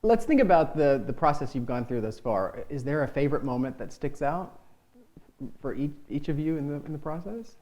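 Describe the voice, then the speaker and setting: A man hosting a relaxed, casual interview with a group of guests. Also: on the high side, brisk. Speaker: interview host.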